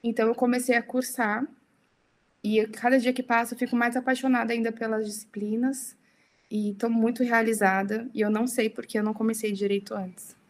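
Chatty young woman, fairly high voice. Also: level tone, brisk. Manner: chatty, many tag questions. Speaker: interview guest. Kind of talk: casual podcast interview.

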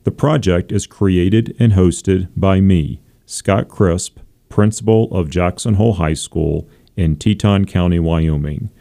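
Host intro: low, gravelly male principal, dark tone, formal read. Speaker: podcast host, school principal. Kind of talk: scripted introduction.

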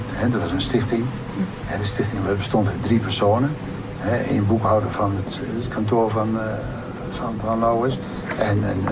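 Man, low creaky voice, halting interviewee explaining, repeated words, heavy background noise. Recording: very noisy, poor-quality interview recording.

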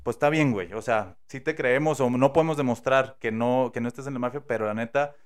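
A man with a Mexican accent, casually telling a true crime story on a podcast, slangy and animated, acting out the quotes. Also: mid-range voice, fairly quick, smooth. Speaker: podcast host.

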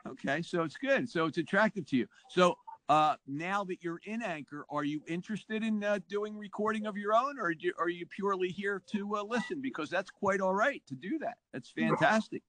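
Guy hosting a podcast call, high voice, super enthusiastic, dry sound. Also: lively intonation, moderate pace, hardly any pauses, fairly bright tone, dry recording. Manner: enthusiastic, praising. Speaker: podcast host. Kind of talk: casual interview chat.

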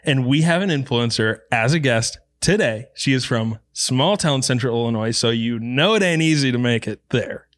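A man's voice: mid-range voice, expressive, normal speed, few pauses, fairly bright tone, no echo. A man co-hosting a podcast who is congested and nasal from allergies, chatting loosely and laughing often. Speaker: podcast co-host. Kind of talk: casual podcast chat.

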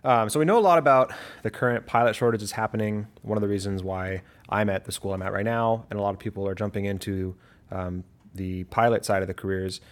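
A man's voice: fairly low pitch, steady tone, brisk. A man hosting a podcast who asks curious, eager questions in a casual way. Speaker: podcast host, flight student.

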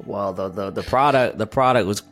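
A man's voice: lowish voice, fairly quick, a bit bright, soft background music. A man co-hosting a podcast in casual chat. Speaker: podcast co-host.

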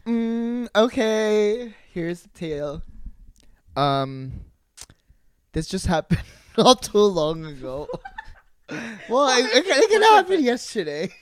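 A podcast co-host telling a personal anecdote in casual, gossipy slang with quoted dialogue, giggly and excitable. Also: mid-range voice, slow pace, long pauses.

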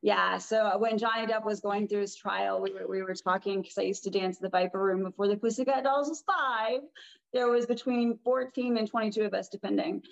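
A woman with a raspy voice, chatting as a guest in an interview, rambling and restarting her sentences. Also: medium pitch, fairly even intonation, fairly quick, muffled recording. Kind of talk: casual interview talk.

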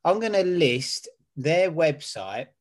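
A man's voice: fairly high pitch, very animated, takes it slowly. Laid-back man co-hosting a beer chat. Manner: laid-back, wry, swears casually. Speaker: beer podcast co-host. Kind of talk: casual chat reviewing beer.

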